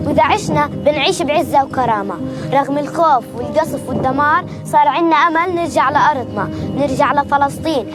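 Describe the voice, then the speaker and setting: A woman's voice: high voice, rapid-fire. A female voice with a Palestinian Arabic accent, delivering an impassioned scripted recitation. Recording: loud music behind.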